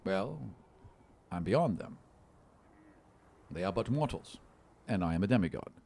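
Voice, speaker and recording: A man's voice: low-pitched, big pitch swings, unhurried, darkish tone, heavily acted. A man playing a haughty demigod who looks down on mortals, with a pompous, condescending manner. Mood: disdainful.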